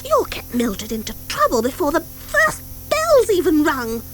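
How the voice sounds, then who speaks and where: A woman voicing a brisk, sensible young schoolgirl witch in an audiobook, forthright, recorded on old cassette. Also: high voice, wildly swooping pitch, over music, voice pushed up. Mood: cross. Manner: forthright, exclaiming.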